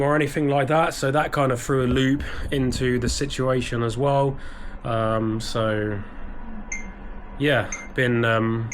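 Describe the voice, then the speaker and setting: A man's voice: medium pitch, a bit bright, a little hiss or hum. A British man talking casually to camera from his office, a little stuffy and bunged up, rambling with many ums and restarts. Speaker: eBay reseller vlogger. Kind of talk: casual vlog talk to camera. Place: small home office.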